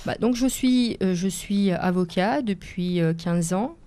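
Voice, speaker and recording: Hesitant woman in her forties, mellow voice. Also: fairly low pitch, measured pace. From France, Seine-et-Marne. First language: Italian. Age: 40s. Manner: hesitant, many fillers and restarts, careful phrasing. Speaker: lawyer and author guest. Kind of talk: radio interview answers.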